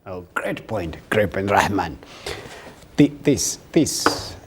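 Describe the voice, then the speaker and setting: A man's voice: low-pitched, very animated, background noise. A man hosting a television panel discussion, praising a guest's point and then asking a hesitant question. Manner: slow, hesitant, many fillers.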